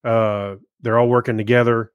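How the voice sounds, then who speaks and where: A man co-hosting a podcast, giving casual reviews in a halting way as he searches for his words. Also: mid-range voice, little pitch movement, clean tone, no echo, dull audio. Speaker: podcast co-host. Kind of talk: casual film review chat.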